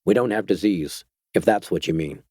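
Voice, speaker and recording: A man voicing a guarded, tense male doctor on the run in an audiobook, low and clipped. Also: moderate pace, darkish tone, no echo, studio quality.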